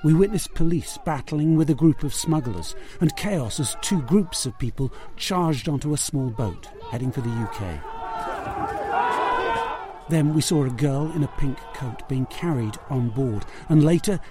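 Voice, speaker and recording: A man's voice: a little high, lively intonation, a little slow, mellow. A man narrating a scripted investigative news report as a correspondent.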